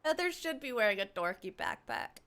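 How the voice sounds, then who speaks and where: High-voiced woman streaming a game. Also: sing-song, moderate pace, fairly bright tone. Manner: chatty, rambling, playful. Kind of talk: casual playthrough commentary. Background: game music.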